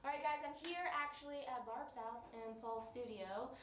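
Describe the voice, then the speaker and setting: A woman's voice: on the high side, normal speed, a bit bright. A woman hosting her show with bubbly enthusiasm, interviewing guests at a friend's house. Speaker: show host. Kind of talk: upbeat vlog hosting and interviewing. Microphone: camera mic away from the speaker. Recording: echoey room, distant mic, faint noise.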